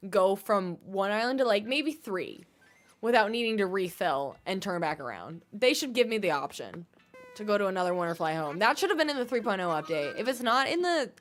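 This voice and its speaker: A woman streaming a game, chatting fast and casually with her viewers. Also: mid-range voice, sing-song. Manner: fast-talking, chatty, bubbly.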